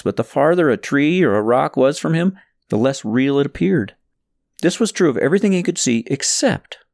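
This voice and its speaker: A man narrating a story from a script in an expressive, suspenseful, dramatic way. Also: medium pitch, no echo. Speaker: audiobook narrator reading own novel.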